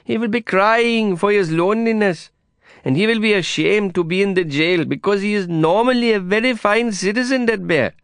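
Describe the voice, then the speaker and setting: A man doing a tender young guide, high and earnest. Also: normal speed, fairly bright tone, smooth, no echo, studio quality. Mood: earnest pleading. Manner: earnest, plaintive, broken English phrasing.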